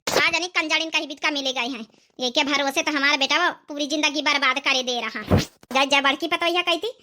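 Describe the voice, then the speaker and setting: A woman's voice: sits high, brisk, background noise, close to an everyday voice. A woman voicing a scolding, grumbling old village mother-in-law in an Awadhi accent, for a cartoon comedy. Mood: angry grumbling. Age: older. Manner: nagging, complaining.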